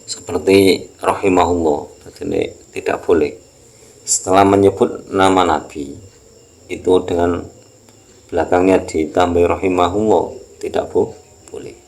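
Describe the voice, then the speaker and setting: A man with a Javanese accent teaching an Islamic text, reading it out and explaining it in a halting, repetitive way. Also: low voice, steady tone, darkish tone. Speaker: religious teacher.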